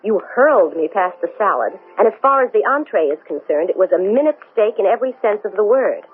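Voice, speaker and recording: A woman's voice: mid-range voice, animated, quick pace, slightly rough. A woman playing a determined, wry, weary astronaut in a 1970s radio drama, with emphatic theatrical delivery. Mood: wry, hurt. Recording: noisy lo-fi 1970s radio broadcast.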